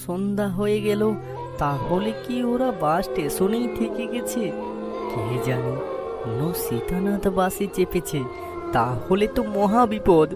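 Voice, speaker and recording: A woman's voice: medium pitch, animated, a little slow, natural voice. A woman voicing an anxious village aunt in a cartoon story. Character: anxious aunt waiting for overdue young relatives. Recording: prominent music behind the voice. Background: background music.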